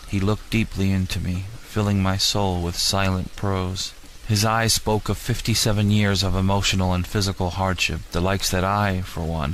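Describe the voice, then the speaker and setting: A man with a low voice narrates a dark first-person story. His delivery is flat in pitch yet somber and melodramatic, like a scripted confession. Faint noise shows it is an amateur home recording. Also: rough recording. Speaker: audiobook narrator.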